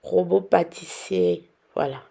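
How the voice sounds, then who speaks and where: Hoarse, gravelly woman cooking. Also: fairly low pitch, slow pace, warm tone. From Benin. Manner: chatty, teasing, addresses viewers directly. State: hoarse from a cold. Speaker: cooking vlogger. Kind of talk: casual cooking demonstration.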